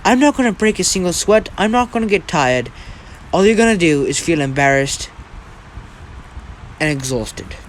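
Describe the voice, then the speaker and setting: A man's voice: on the high side, sing-song, a little hiss or hum. A man voicing a smug, condescending teenage hero student in an anime-style story, mocking his rival. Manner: mocking, condescending, drawn-out taunts. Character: smug, taunting teenage superhero student with evil smile.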